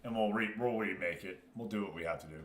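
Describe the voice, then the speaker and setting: A man's voice: on the low side, fast, fairly bright tone. A man hosting a casual game livestream, talking informally and directly to his viewers. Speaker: streamer and host. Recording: roomy, echoing room sound.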